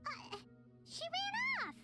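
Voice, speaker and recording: Casting: tiny excitable fairy sidekick, squeaky childlike register, very animated game acting. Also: female voice, slow pace, over loud music, heavily acted. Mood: startled. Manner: refers to self in third person, eager. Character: Paimon, small excitable childlike female fairy companion.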